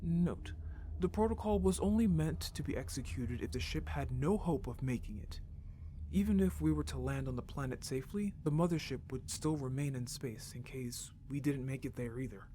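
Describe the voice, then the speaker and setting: A man voicing an earnest young rebel in an audio drama, who speaks softly when telling a story and gets urgent when worried. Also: medium pitch, normal speed, a little hiss or hum, natural voice. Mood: alarmed. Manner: soft storytelling, turns urgent and upset.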